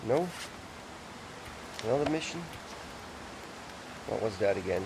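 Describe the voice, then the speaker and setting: A man's voice: lowish voice, animated, a little slow, noisy background. A man chatting loosely and rambling as he plays a video game, often correcting himself. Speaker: let's play gamer. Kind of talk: casual gaming commentary. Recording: music playing under the voice.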